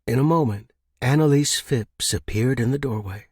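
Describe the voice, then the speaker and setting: Male fiction narrator: husky, mid-pitched, measured reading, dry close recording. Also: no room sound. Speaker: audiobook narrator.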